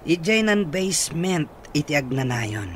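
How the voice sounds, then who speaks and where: An actor plays a mocking, cruel accomplice in an Ilocano radio drama. His voice is high for a man and very animated, with exaggerated gloating. Faint noise sits behind him. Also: slow pace, exaggerated voice.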